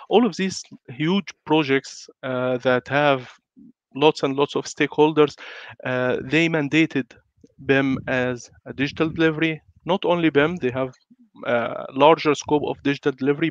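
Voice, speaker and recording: A man's voice: medium pitch, dry recording. A man with a flu-congested voice, giving a webinar talk as a guest speaker, hesitant and full of fillers and restarts. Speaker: guest speaker on building information modelling. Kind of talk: online webinar presentation. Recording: dry, slightly muffled lo-fi audio.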